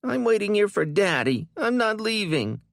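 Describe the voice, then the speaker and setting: A man's voice: high-pitched, sing-song, normal speed, creaky, no echo, studio quality, pitched above the performer's own voice, heavily acted. A man doing a stubborn whiny little girl. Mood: tearful. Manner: defiant, whining.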